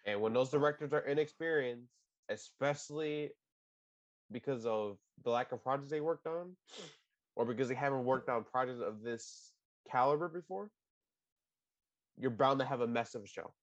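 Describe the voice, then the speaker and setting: A man's voice: mid-range voice, normal speed, pauses often, no room sound, lo-fi sound. A man co-hosting a casual podcast, opinionated and rambling, often restarting sentences. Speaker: podcast co-host.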